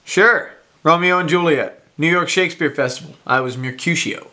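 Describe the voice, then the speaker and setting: A man off camera reading the part of a calm, seasoned actor in a plain, matter-of-fact way. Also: fairly high pitch, moderate pace. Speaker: off-camera scene reader. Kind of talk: reading lines in a scene.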